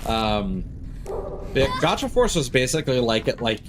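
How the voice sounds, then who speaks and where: A man giving casual live commentary as he plays a video game, talking haltingly as he thinks aloud. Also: medium pitch, sing-song, background noise.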